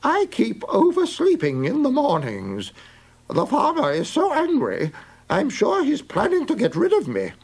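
A man voicing a fretful old cockerel in a very high, strained, loud voice. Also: huge pitch swings, unhurried, gravelly, husky, exaggerated voice, rough recording. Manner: loud, squawking complaint.

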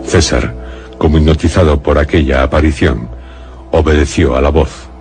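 A man narrating a suspense radio drama in a deliberate, solemn, dramatic way. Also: very deep voice, a little mellow. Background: faint music.

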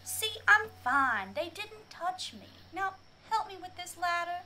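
Woman playing chirpy, high-pitched Southern chatterbox. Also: very animated, unhurried, background noise, full character voice. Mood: nagging, cheerful. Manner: folksy, chatty. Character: folksy, chatty, nosy small-town woman bounty keeper. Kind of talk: radio drama acting.

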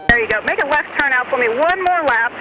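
Rapid, high-pitched woman controller over noisy radio. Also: animated, loud music behind the voice. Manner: brisk, directive, repetitive. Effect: aviation radio. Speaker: air traffic controller. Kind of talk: live radio traffic instructions. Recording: very noisy aircraft radio transmission.

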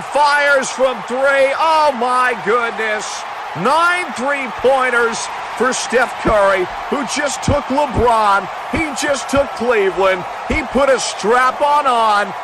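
A man doing mock basketball play-by-play as a parody sports commentator, with a profane, bombastic announcer delivery. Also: very high voice. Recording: noisy, game crowd and court sounds. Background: basketball game ambience with bouncing ball.

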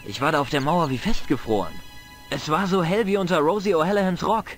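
A man's voice: a little high, quick pace, faint music behind, lightly acted. A man voicing a cheeky, defiant teenage village boy in a video game, boyish, slangy and cocky, with a flair for dramatic tall tales. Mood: spooky, dramatic.

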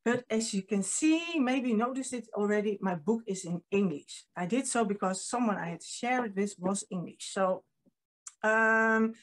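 A woman's voice: mid-range voice, animated, moderate pace. A Dutch woman in her fifties with a Dutch accent, giving an earnest talk as a publisher, with frequent ums, recorded on a webcam at home. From Netherlands. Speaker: guest speaker, publisher. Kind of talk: online presentation. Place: home room with painting. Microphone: video call webcam.

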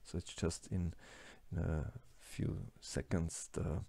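A man with a German-speaking accent giving a slide lecture, halting with many fillers and restarts. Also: low voice, steady tone, vocal fry, dark tone. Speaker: lecturer on plastics engineering.

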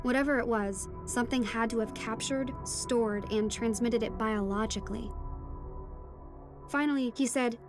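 A woman playing a teenage daughter, telling her adventure in measured first-person narration for an audio drama. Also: a little high, natural voice. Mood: reflective. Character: teenage daughter recounting a deep-sea adventure. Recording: music behind the voice.

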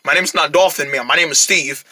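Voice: mid-range voice, brisk, pushed and bright, husky, pitched below the performer's own voice. A performer voicing a laid-back, grumbling male dolphin in a homemade toy story, talking in an unimpressed, slangy way. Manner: unimpressed, says dude often.

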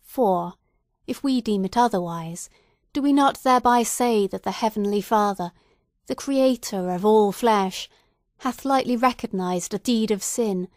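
A woman's voice: mid-range voice, darkish tone, no echo, studio quality, natural voice. Woman reading a tremulous young clergyman. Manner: solemn, earnest pleading. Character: nervous young male clergyman, tremulous, earnest.

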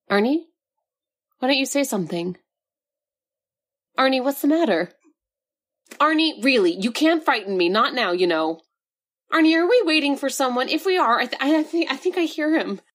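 A woman's voice: medium pitch, lightly acted. A woman playing a nervous wife led underground by her husband in a radio drama, stammering and pleading.

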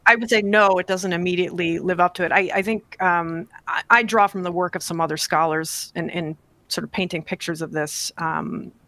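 A woman in her forties, an author guest on a talk show, speaking in a thoughtful, measured way with frequent fillers, from a home study on a desk mic. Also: fairly low pitch, fairly even intonation, a bit bright, no echo. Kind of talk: interview on radio show. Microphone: desk podcast mic on boom, video call.

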